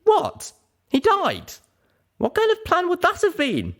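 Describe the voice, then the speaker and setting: A man voicing a young female pony, earnest and emotional, in a high falsetto for a story reading. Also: huge pitch swings, takes it slowly, fairly bright tone, full character voice. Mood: startled, indignant.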